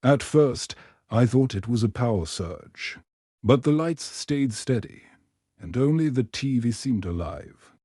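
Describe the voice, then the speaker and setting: A man narrating a first-person horror story in a measured, hushed, suspenseful way. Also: mid-range voice, expressive, no room sound, clean studio sound.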